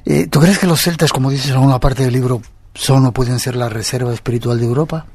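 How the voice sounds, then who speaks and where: A man's voice: mid-range voice, fairly even intonation, quick pace, a little mellow, husky. A man hosting a live radio interview in a studio, asking chatty, joking questions. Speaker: radio host.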